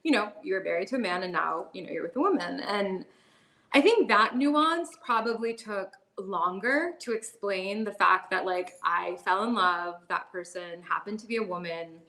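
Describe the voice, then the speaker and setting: Bright-voiced chatty woman guest. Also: medium pitch, expressive, normal speed. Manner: chatty, many "like" and "you know" fillers, laughs often. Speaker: podcast guest. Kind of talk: candid conversational storytelling.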